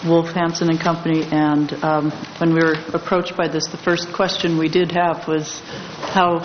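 A woman with a low voice presenting a staff report to a board, semi-formally and with hesitant pauses. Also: level tone. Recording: echoey, muffled, very noisy meeting room.

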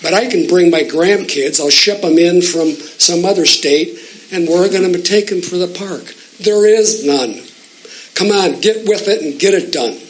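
A man's voice: high voice, measured pace, rough recording. A man in his late seventies making a blunt, urging public comment at a community meeting. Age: 70s.

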